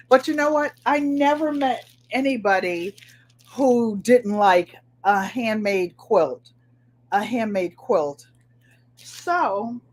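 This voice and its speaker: A middle-aged woman with a nasal New England twang hosts a live crafting chat. She talks in an easy, unhurried way, her voice sitting a little high, and calls out to viewers by name between demonstrations. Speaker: craft livestream host.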